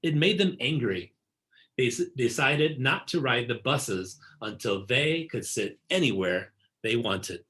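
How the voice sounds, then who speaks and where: A man narrating a children's picture book in a deliberate storytelling delivery, stressing key words. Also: medium pitch, animated, fairly bright tone. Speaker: read-aloud narrator. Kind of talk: scripted picture-book read-aloud for children.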